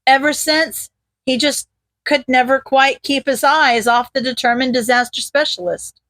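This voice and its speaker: High-voiced woman narrating fiction. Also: steady tone, normal speed, fairly bright tone, dry recording. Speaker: podfic narrator. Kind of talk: expressive scripted fiction narration.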